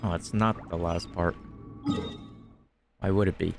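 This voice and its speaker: A man streams a game in a low voice. He is offhand and joking, casually reading lines aloud and commenting, with game music clearly behind him. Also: steady tone, unhurried. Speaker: gaming streamer.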